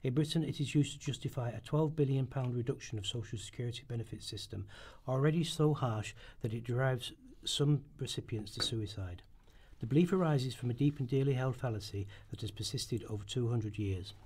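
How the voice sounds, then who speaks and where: A man's voice: medium pitch, fairly quick, few pauses, darkish tone, husky. A man reading formal, scripted narration as the narrator in a radio play rehearsal.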